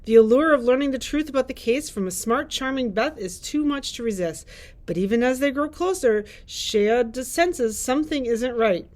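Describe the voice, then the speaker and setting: A woman with a fairly high voice shares book recommendations as a librarian host. She reads prepared blurbs aloud. Now and then she slips into light, informal chat. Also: expressive, moderate pace, darkish tone.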